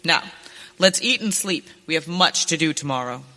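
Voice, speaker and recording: A woman's voice: low-pitched, animated, moderate pace, heavy background noise. A woman playing a determined young rancher turned masked avenger in a western radio play, speaking earnestly and theatrically. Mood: earnest, planning. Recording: reverberant hall, stage performance.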